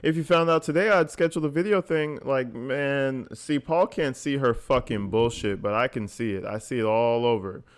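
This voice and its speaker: A man's voice: medium pitch, normal speed, hardly any pauses. Casting: male reaction host with a mid-range voice, profane, casual and mocking in disbelief. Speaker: host reacting to recorded calls.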